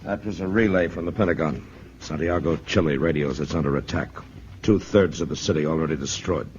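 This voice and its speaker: An actor playing a businesslike, confident army colonel in a 1950s radio drama, clipped and military. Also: male voice, rumbling low, a little fast, a little mellow. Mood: grave, confident. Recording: muffled old radio, faint noise.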